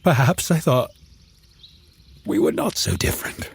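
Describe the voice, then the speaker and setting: An actor playing a lonely, eloquent man-made creature, telling his tale in a solemn, brooding audio drama voice with a gravelly, husky edge. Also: a little high, lively intonation, a little slow, a little hiss or hum. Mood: wistful. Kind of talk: dramatic first-person audio drama narration.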